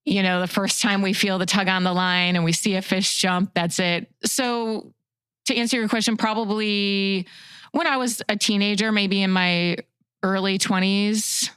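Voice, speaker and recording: A woman's voice: medium pitch, steady tone, moderate pace, a bit bright, clean tone, no echo. An American woman in her sixties from the Chicago suburbs, speaking earnestly and reflectively as an interview guest. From Chicago suburbs, USA. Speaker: podcast guest, fishing guide and outdoor writer.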